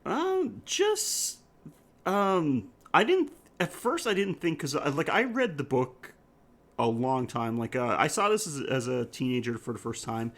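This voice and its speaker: A man co-hosting a podcast, discussing film casually and haltingly as he thinks aloud. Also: a little high, big pitch swings, a bit bright. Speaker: podcast co-host.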